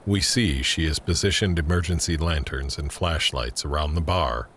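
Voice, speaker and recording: A man's voice: a bass voice, moderate pace, a bit bright. A man reading scripted, screenplay-like narration for an audio drama. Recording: faint ambient noise under narration.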